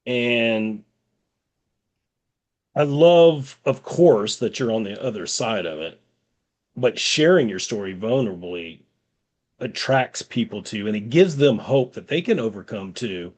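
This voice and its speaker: A man hosting an interview, affirming his guest and asking gentle follow-up questions. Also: medium pitch, lively intonation, measured pace. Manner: encouraging, affirming listener. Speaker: host.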